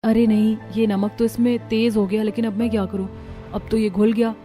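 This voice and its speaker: A woman's voice: on the high side, little pitch movement, a little fast, dark tone, faint music behind, lightly acted. A woman playing a timid, anxious young bride who speaks meekly and politely in a cartoon story. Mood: worried. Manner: meek, polite, nervous. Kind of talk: Hindi cartoon story character acting.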